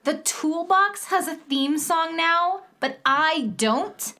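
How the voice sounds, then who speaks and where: A woman's voice: extremely high pitch, slow, sharp tone, exaggerated voice. A woman playing a grumpy, vain young alien girl in a children's audio drama, whiny and boastful. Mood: indignant, sulky.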